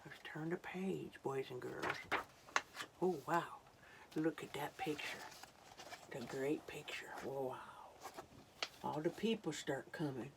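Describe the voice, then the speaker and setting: A woman reading a story aloud to young children, dramatic and unhurried, dropping into hushed asides. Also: low-pitched, gravelly, noisy background.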